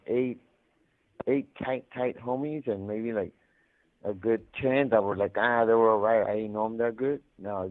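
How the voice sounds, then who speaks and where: A man telling stories casually over a muffled phone line, rambling in slangy, profanity-heavy talk. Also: lowish voice. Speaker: incarcerated caller telling his life story. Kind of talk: casual storytelling over a prison phone call. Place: prison, on a phone.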